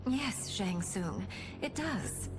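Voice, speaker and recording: An actress playing a proud, cunning young warrior princess in a video game cutscene. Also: female voice, mid-range voice, lively intonation, very slow. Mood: sly, composed. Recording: loud music behind the voice.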